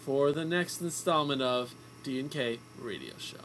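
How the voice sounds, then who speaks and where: A man's voice: medium pitch, normal speed, fairly bright tone, poor audio. A young man co-hosting a radio show, chatting casually about movies with opinionated, jokey banter. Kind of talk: casual movie review chat. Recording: amateur recording with background noise.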